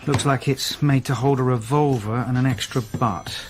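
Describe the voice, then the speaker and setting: A man's voice: mid-range voice, steady tone, moderate pace, a little hiss or hum, close to an everyday voice. A man acting in a radio drama as a senior police detective, cautious and businesslike, asking terse questions. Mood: focused.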